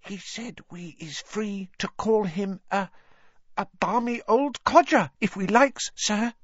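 A woman voicing an excitable, timid male house elf in a storybook, with a squeaky voice and deferential, broken third-person grammar. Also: slow, breathy edge, no echo, highs cut off. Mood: nervous, loyal.

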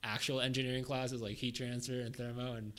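Young man, mid-pitched, hosting casually. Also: little pitch movement, fairly quick, fairly bright tone. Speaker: podcast host, interviewer. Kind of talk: casual chat.

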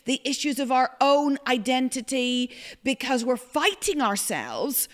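A middle-aged Irish woman hosts a faith discussion, pressing her points hard and quoting scripture. Her voice sits somewhat high. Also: very animated, measured pace. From Ireland. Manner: emphatic, preacherly, quotes scripture. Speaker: podcast host. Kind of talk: conversational teaching podcast.

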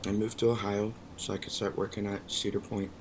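A man in his early twenties co-hosting a podcast casually, his voice hoarse and worn out, recorded in a hotel room. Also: on the low side, level tone, brisk, background noise. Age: early 20s. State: hoarse from losing his voice, tired. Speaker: podcast co-host. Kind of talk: casual pop culture podcast chat.

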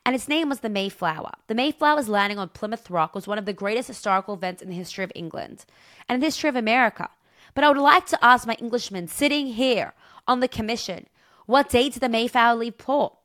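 A woman's voice: fairly high pitch, lively intonation, fast, no room sound, clean studio sound. A woman giving a religious lecture as a Torah teacher, impassioned and emphatic, with rhetorical questions and storytelling. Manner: impassioned, emphatic, dramatic shifts between stressed and hushed phrases. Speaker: Torah teacher giving a weekly portion talk.

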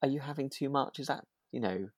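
A podcast co-host, talking thoughtfully and tentatively in relaxed discussion, with hesitant, circling phrasing. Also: mid-range voice, brisk, dry recording. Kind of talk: relaxed discussion of a radio drama.

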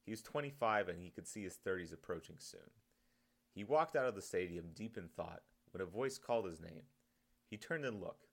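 A man's voice: low-pitched, lively intonation, moderate pace, vocal fry. A man reading his own story as scripted audiobook narration.

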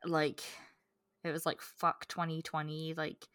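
Low-pitched woman chatting, close dry sound. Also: completely flat delivery, unhurried, a bit bright, dry recording. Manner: candid, informal. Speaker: podcast co-host. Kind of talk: casual book discussion chat.

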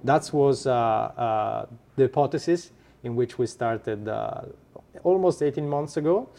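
Gravelly Italian-accented man. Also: mid-range voice, mellow. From Italy. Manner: halting, many filler sounds and restarts. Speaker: startup founder guest. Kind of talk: podcast interview.

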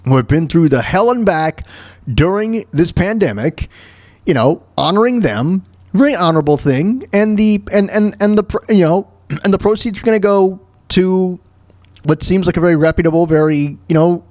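Higher-pitched man, opinionated radio host. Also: animated, a little mellow, no echo. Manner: informal, halting, repeats words and phrases for emphasis. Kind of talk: opinionated solo commentary on news clips.

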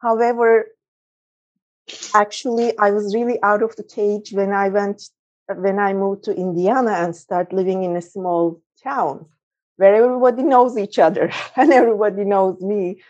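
A woman's voice: medium pitch, fairly even intonation, normal speed, darkish tone. Woman from Turkey on dull call audio. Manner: reflective, searching for words. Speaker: discussion participant. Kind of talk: casual group discussion. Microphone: video call.

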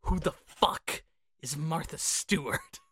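A podcast co-host talking casually and informally about films, animated and sweary. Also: medium pitch, slow, slightly rough, no room sound. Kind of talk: casual film discussion.

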